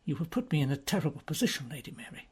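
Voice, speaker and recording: A woman's voice: low-pitched, sing-song, racing, a little mellow, breathy edge, heavily acted. A woman voicing an earnest male police officer who pleads in an urgent, imploring way.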